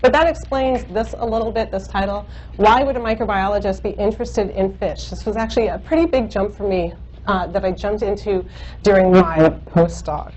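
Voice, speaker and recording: A woman in her thirties with a loud voice, giving a university seminar lecture into a microphone in a lecture room, chatty with frequent ums and uhs. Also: mid-range voice, normal speed. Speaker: guest speaker, microbiologist. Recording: muffled recording with faint hum.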